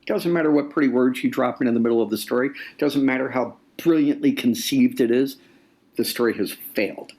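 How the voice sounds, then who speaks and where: A man speaking as an author guest in a conversational interview, explaining deliberately and repeating phrases for weight. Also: mid-range voice, a little mellow. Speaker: nonfiction author, podcast guest.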